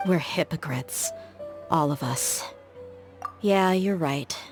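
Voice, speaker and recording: A world-weary young desert mercenary in a video game. Her voice sits on the low side, frank and measured, reflective and protective. Music plays behind her. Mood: bitter reflection.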